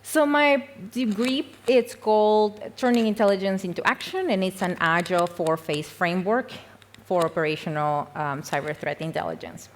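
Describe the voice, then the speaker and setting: A woman's voice: mid-range voice, normal speed, a little hiss or hum. A young woman from Peru, giving a casual, self-deprecating conference talk full of fillers and small laughs. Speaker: conference speaker. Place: conference hall.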